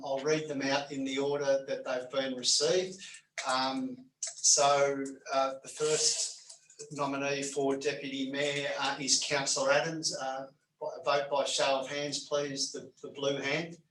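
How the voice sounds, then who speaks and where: A man's voice: on the high side, fairly bright tone. A man running a council vote as general manager, hesitant and full of fillers as he counts the hands aloud. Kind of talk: formal meeting procedure. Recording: echoey room, far from mic, faint noise.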